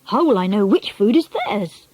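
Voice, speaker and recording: A woman's voice: medium pitch, big pitch swings, normal speed, darkish tone, slightly rough, no echo. A woman voicing a clever, eager young boy who has become a mouse, in an audiobook story. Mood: thoughtful.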